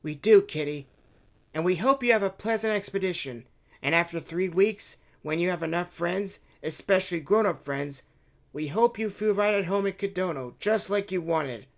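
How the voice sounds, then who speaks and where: A man voicing an eager young boy excitedly recounting an adventure in a raised voice. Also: sits high, normal speed, pitched above the performer's own voice. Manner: excited, breathless storytelling.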